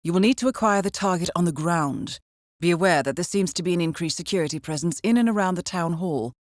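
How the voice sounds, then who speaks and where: An actress playing a composed, formal and dryly witty intelligence handler who briefs an assassin in a video game. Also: female voice, fairly low pitch, fast, dry recording, studio quality, natural voice. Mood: composed, businesslike. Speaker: mission handler.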